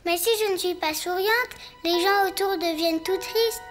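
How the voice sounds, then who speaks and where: Casting: small cartoon hedgehog girl, wistful and vulnerable, extremely high pitch, music behind. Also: moderate pace, a bit bright. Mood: sad, vulnerable. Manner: childlike, plaintive. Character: sad, brave little orphaned hedgehog girl. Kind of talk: French-dubbed children's cartoon acting.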